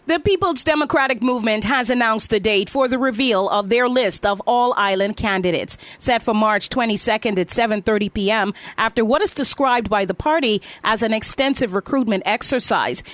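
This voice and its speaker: A woman's voice: a little high, moderate pace, hardly any pauses, a bit bright, no room sound. A woman anchoring a scripted news bulletin, reading reports in a formal presenting style.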